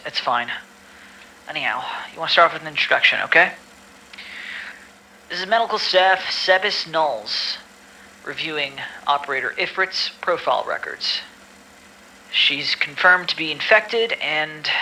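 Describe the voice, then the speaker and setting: Male, exasperated medical staffer acted by a man for audio drama. Very forceful, bright tone, slightly high, formal report reading with grumbled asides, faint noise behind. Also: full character voice, rough recording. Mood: dismissive, then formal, puzzled. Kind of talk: amateur audio drama acting.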